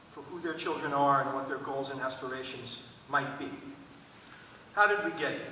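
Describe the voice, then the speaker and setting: Man, formal event opener, higher-pitched and forceful, recorded from afar in a very reverberant hall. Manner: formal, measured wording. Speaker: school administrator opening a panel event. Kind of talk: prepared welcome speech to an audience. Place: large echoing hall at a public event. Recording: echoey hall, distant mic, faint noise.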